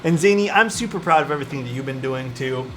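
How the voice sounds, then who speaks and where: A man's voice: medium pitch, animated, fast, a little hiss or hum. A young man with a Toronto Canadian accent, chatting casually with his livestream audience while soldering a keyboard. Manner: easygoing, chatty, answers chat while soldering.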